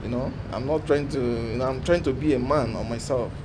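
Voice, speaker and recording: A young man with a Nigerian accent tells his story in short, halting bursts, often circling back to repeat himself. His voice sits at an ordinary middle pitch. He was recorded outdoors in a city, where loud traffic noise runs underneath everything he says. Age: 20s. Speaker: documentary interviewee. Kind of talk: personal account in an interview.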